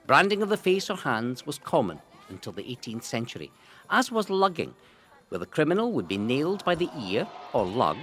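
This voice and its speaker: A Scottish man reading a scripted history narration in a theatrical, relishing way, with deliberate emphasis. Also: mid-range voice, fairly bright tone. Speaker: narrator of a local history audio guide. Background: music.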